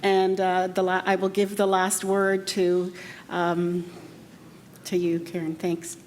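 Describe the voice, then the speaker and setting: A woman moderating a press conference, brisk and rushed as she manages the time. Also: lowish voice, monotone, darkish tone, a little hiss or hum.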